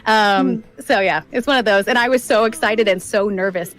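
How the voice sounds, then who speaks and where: A woman's voice: medium pitch, normal speed, rough recording. A woman speaking casually as a voice actor being interviewed. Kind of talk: casual chat, recollecting.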